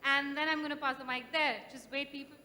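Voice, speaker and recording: A woman moderator briskly and cheerfully calling on audience members for questions. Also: high voice, flat delivery, pushed and bright. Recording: reverberant hall, faint noise.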